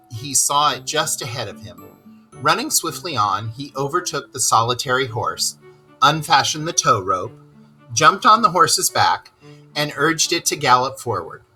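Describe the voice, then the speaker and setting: A man reading a children's story aloud with expressive, dramatic, deliberate narration. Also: mid-range voice, low-quality recording.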